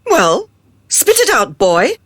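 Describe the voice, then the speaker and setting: Woman to play haughty villainess Madam for radio drama: condescending, scolding, slow delivery, very animated pitch. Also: dry recording, heavily acted. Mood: impatient, bossy. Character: haughty, domineering Madam running a sinister experiment.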